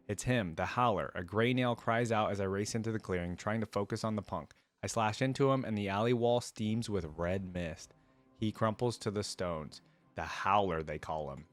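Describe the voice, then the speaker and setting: A man hosting a reaction video, reading a story aloud and adding casual asides. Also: lowish voice.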